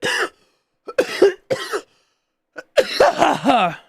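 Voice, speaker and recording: A man's voice: very high-pitched, wildly swooping pitch, drawn-out words, vocal fry, no room sound, clean studio sound. A man in his late twenties chatting casually while streaming a game, rambling and joking about himself as he talks to viewers and a friend. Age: 20s. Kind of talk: casual livestream chat while gaming.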